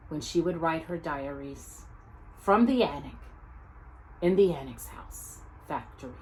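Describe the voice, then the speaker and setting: A woman reading aloud as a storytime host, speaking earnestly and deliberately to young viewers, phrase by phrase. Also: sits low, a little mellow, slight background noise, low-quality recording.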